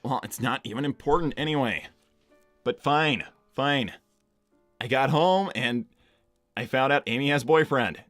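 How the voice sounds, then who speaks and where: A man's voice: medium pitch, a bit bright, no room sound, faint music behind, close to an everyday voice. Man voicing a cocky, casual young hedgehog hero, dry and close. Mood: defensive, reluctant. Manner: casual, halting, lots of "like" and "you know". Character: Sonic, cocky, laid-back, dense young male hedgehog hero. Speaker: live stream character performer reading donor messages. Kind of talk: improvised in-character live roleplay, casual banter.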